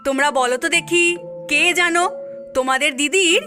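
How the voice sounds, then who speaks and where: A woman's voice: high voice, a little fast, over music, lightly acted. A woman voicing a shrewd, coaxing village woman in a cartoon, with a teasing, exclamatory delivery. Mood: mock-concerned. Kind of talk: cartoon voice acting.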